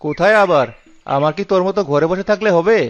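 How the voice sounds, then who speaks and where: Casting: male actor as stingy young-married cartoon husband, high voice, blunt complaining delivery, muffled recording. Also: fast talker, slight background noise, close to an everyday voice. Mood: irritated. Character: stingy, grumbling newlywed husband, quick to scold. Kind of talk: cartoon dialogue acting. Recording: dull lo-fi sound with music behind.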